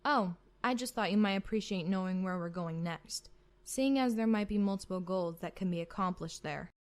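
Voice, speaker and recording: A woman playing a formal, measured, calculating admiral of an evil empire in a fan-made sci-fi roleplay. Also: mid-range voice, lightly acted. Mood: cool, calculating. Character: coolly calculating female Imperial admiral.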